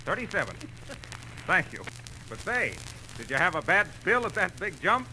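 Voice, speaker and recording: A man's voice: high voice, big pitch swings, moderate pace, pushed and bright. A man speaking as an announcer in old-time radio style. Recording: noisy vintage radio recording.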